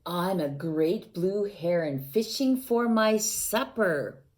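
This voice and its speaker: A patient great blue heron, as a woman reads it aloud keeps watch in a slow, measured storybook voice. Sudden louder bursts punctuate the hunt. Her pitch rises and falls with animation. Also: expressive. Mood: watchful.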